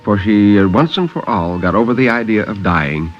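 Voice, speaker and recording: A man narrating a story in a theatrical 1950s radio style with measured pauses. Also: on the low side, animated, soft background music. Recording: dull vintage 1950s radio recording.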